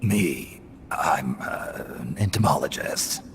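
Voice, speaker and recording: Very deep, gravelly, terse soldier. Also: male voice, lively intonation, slight background noise, exaggerated voice. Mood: evasive. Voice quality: gravelly, breathy low rasp. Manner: terse, halting questions. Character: gruff, terse male mercenary soldier posing as butterfly expert. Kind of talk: video game cutscene acting. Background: music.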